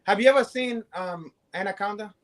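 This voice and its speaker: A man's voice: sits high, brisk. Swearing man with a Brazilian accent. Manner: boastful, swearing, joking. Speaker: co-host. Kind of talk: profane comic banter.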